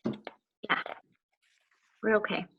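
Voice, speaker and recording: A woman's voice: drawn-out words, highs cut off, a little hiss or hum. An actress reading a teenage girl who shyly asks her mom a favor, at a table read. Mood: sheepish. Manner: shy, hesitant asking.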